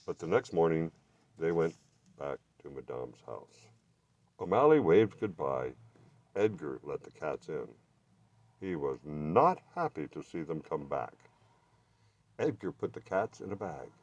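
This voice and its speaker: A man reading a children's storybook aloud with expressive, deliberate narration. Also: rumbling low, no room sound, muffled recording. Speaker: children's bookstore reader.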